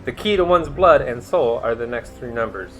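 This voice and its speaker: A man plays a young masked sidekick in a radio drama. He is wry and inquisitive, with animated, swinging pitch and faint music behind him. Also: normal speed, lightly acted, low-quality recording. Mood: reading aloud.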